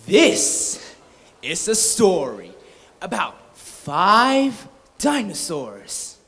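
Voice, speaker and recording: A teenage boy from Thailand narrates a children's story in a measured, expressive way. His pitch moves a lot and his pace is very slow. A long reverb from the hall trails each phrase. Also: creaky, husky. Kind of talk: readers' theatre storybook reading. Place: large indoor hall stage. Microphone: stand mic.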